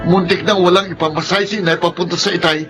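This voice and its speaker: A man's voice: sits high, fairly even intonation, fast, music in the background, lightly acted. A man playing a stern young older brother with a Filipino accent in a radio drama, scolding emphatically. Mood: accusing.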